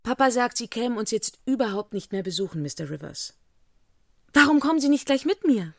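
Coquettish rich young lady, high voice, animated swoops, girlish, teasing, radio play, dry sound. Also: fairly quick, gravelly, dry recording. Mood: coaxing. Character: pretty, coquettish, wealthy young woman, playful and forward. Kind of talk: radio drama acting.

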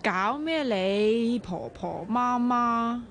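An actress playing a sharp-tongued, bossy, excitable young woman in a radio drama, chatty and expressive, with a Hong Kong Cantonese accent. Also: on the high side, drawn-out words, exaggerated voice. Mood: persuasive, cheeky.

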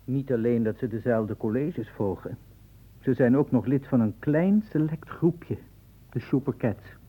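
Radio play chatty, dismissive medical assistant; mid-pitched, thin phone-like sound. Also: male voice, measured pace, slightly rough. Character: casual, dismissive male medical assistant reporting to superior. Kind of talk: radio drama acting. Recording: tinny, phone-like narrow sound.

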